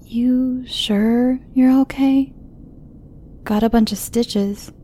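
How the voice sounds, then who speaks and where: A woman voicing a shy, clingy teenage girl who stammers and speaks in breathy half-whispers. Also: on the high side, fairly even intonation, extremely slow, dark tone, voice pushed up. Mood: eager, worried. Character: timid sweet teenage girl, eager and clingy.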